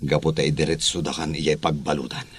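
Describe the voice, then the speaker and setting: Man acting as custody escort, deep voice, firm, dutiful, audio drama. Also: flat delivery, brisk. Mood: firm, apologetic.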